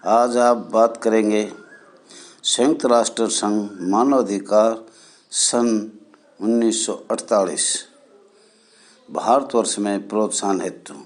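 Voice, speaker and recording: A man from India hosting a channel, explaining history in a deliberate, halting way with short phrases and formal honorifics. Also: lowish voice, steady tone. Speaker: channel host. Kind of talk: spoken history explainer for viewers.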